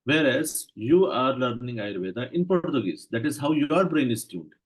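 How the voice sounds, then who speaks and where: A man gives a lecture in in short, measured phrases and stops after each one so it can be interpreted. His voice is husky and a little rough, pitched in an ordinary middle range. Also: lively intonation. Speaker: Ayurveda lecturer. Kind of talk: lecture in English, interpreted consecutively.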